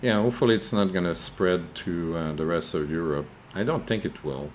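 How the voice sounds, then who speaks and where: A man's voice: deep voice, fairly quick, a little hiss or hum. A man co-hosting a casual chat over a video call, hesitant and tentative, restarting his sentences often. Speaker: co-host.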